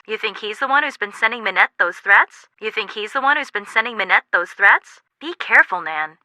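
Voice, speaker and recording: A woman's voice: medium pitch, animated, a little fast, sharp tone, no room sound. An actress playing a sensible, dry-witted young American woman in a video game, wry and matter-of-fact. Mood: curious. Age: young adult.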